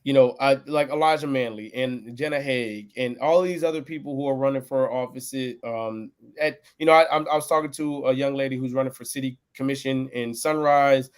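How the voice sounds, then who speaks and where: A young man running for office, chatting casually about politics in an animated, slangy way. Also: medium pitch, a little fast, few pauses. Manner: animated, slangy, drops into mock dialogue. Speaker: political candidate.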